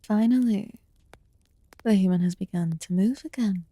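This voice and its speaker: A woman playing an ancient, aloof fae in a fantasy ASMR roleplay, murmuring softly and formally with some whispered phrases. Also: mid-range voice, dry recording, lightly acted. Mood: aloof, commanding. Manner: slow, deliberate, archaic formal wording. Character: ancient aloof female fae recluse, haughty yet quietly caring.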